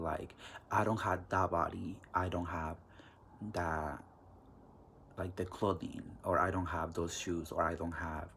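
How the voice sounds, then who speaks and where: A man's voice: sits low, fairly bright tone, slight background noise. A young man with a Mexican accent hosting a casual solo podcast, chatty, loose and profane. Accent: Mexican Spanish. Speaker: podcast host.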